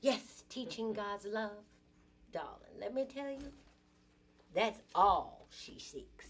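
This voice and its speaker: A woman's voice: mid-range voice, expressive, slow pace, voice pushed up. A woman performing an improvised dramatic monologue as an indignant, sassy businesswoman confiding in a girlfriend, with theatrical emphasis. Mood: proud, triumphant.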